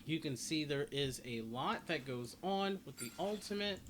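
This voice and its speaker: A man with a slightly high voice talks through a game guide in a casual, rambling way. He often restates his points as he goes. There is some noise behind him on the recording. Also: lively intonation, moderate pace, fairly bright tone. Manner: rambling, repeats and rephrases himself. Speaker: gaming video host. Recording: clearly noisy home recording.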